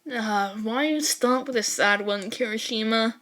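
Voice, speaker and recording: A woman with a fairly high voice narrates a story as an amateur audiobook. Her reading is expressive and animated, slipping into dramatic asides as she goes. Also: unhurried. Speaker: fan fiction narrator.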